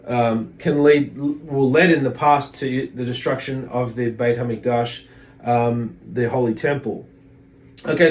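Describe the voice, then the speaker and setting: Reflective man teaching, higher-pitched voice. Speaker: religious teacher. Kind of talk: informal religious lesson, reading aloud and commenting.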